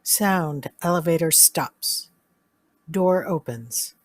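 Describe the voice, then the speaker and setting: A woman reading sound-effect cues aloud in a flat, unhurried way for a live radio drama. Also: sits low, no room sound. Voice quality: flat, slow announcing of cues. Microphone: video call.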